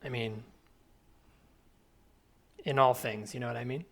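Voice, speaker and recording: A man playing an awkward, earnest churchgoer with a halting, tentative way of talking, in improvised audio comedy. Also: medium pitch, fairly even intonation, creaky, a bit bright.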